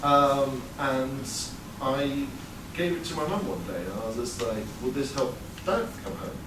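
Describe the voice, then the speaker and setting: A man's voice: medium pitch, lively intonation, unhurried, background noise. A man in his thirties from England telling a personal story to a live audience, conversational and wry, with plenty of fillers. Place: performance venue before an audience. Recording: roomy live sound, mic far off.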